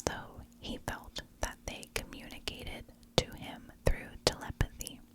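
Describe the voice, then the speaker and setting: A woman telling a story in an ASMR style, with hushed, deliberate narration. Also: low-quality recording. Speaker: ASMR true crime host.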